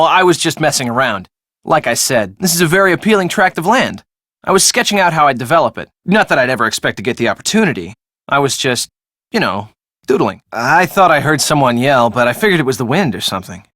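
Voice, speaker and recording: A dry studio recording of a young man in a video game, a casual schemer with a swooping, animated way of talking. He is blunt and dismissive, tossing lines off in an offhand, conversational way. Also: fairly high pitch, dry recording. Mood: casual, evasive.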